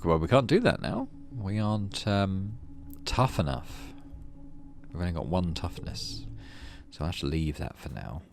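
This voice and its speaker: A man's voice: sits low, sing-song, a little slow, creaky, a little mellow, faint music behind. A man hosting a gaming video, reading story text aloud and adding casual, muttered asides to himself. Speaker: gaming channel host.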